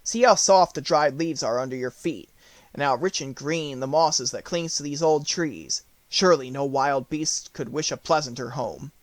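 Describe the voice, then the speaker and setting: A man's voice: fairly high pitch, normal speed, dry recording, natural voice. A man voicing a proud, brave lion with a stately, assured way of speaking in a storybook. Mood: delighted. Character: proud brave male lion, king of beasts.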